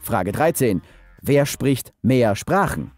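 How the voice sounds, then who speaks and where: A man's voice: medium pitch, very animated, slow, a little mellow, soft background music. A man off camera who reads out numbered quiz questions in an announcing tone.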